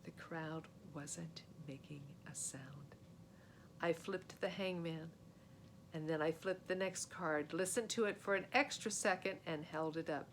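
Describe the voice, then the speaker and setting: Woman reading a storybook over clear background noise. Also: a little slow. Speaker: storyteller reading a children's book aloud. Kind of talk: animated read-aloud narration. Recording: steady noise with low rumble.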